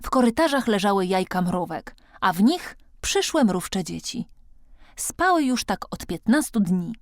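A woman's voice: medium pitch, big pitch swings, a little slow, no room sound, clean studio sound. A woman reading a children's storybook as an audiobook narrator, expressively and with dramatic emphasis.

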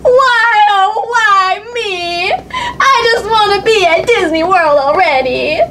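Little girl puppet, played by a woman: very high, lively, impatient, cheeky and whiny, noisy recording. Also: slow, full character voice. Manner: pleading, dramatic, cheeky. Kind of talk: children's puppet show acting.

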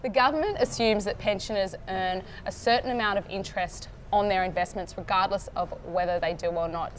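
A woman's voice: mid-range voice, slight background noise. A woman in her thirties with an Australian accent, hosting a casual political panel from a bar table and asking pointed questions. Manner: chatty, asks pointed questions. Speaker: panel host. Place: indoor bar-restaurant, seated at a small table.

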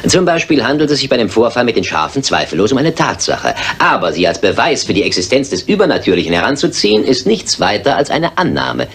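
An actor playing a coolly logical, sceptical gentleman detective, dry and precise, in a German radio drama. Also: mid-range voice, sing-song, fast talker, few pauses, slight background noise. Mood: cool scepticism.

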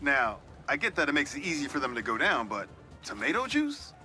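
An actor playing a proud, warm newspaperman of mature years, folksy and wry, in a video game. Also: a little high, huge pitch swings, a little fast, faint music behind, exaggerated voice. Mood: wry, amused. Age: middle-aged. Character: warm, proud older newspaperman who loves his city. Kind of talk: video game voice acting, spoken commentary.

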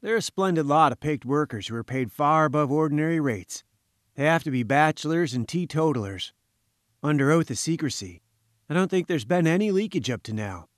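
A man's voice: on the high side, lively intonation, a little fast, dry recording, clean studio sound, close to an everyday voice. A man voicing a genial, wry journalist in a storybook, friendly but firm, with breezy old-chap slang. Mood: informative, proud.

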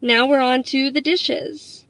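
A woman in her thirties talks her viewers through household chores in a chatty, upbeat voice-over. Her voice sits a little high and carries a gravelly fry at the ends of phrases. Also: steady tone, takes it slowly, a bit bright, no room sound. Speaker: cleaning vlogger. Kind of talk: voice-over narration.

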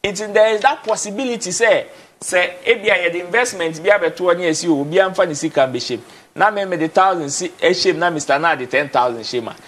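A man's voice: sits high, fast, a bit bright. A young Ghanaian man with a Ghanaian accent, talking as a guest in a TV studio, switching between Twi and English and repeating words as he drives his points home. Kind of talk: casual TV studio discussion. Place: TV studio, indoors.

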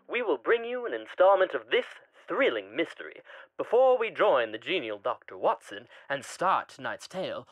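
A man's voice: on the high side, a bit bright, dry recording, rough recording. A young man doing theatrical, salesmanlike announcing in the style of old-time radio. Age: young adult.

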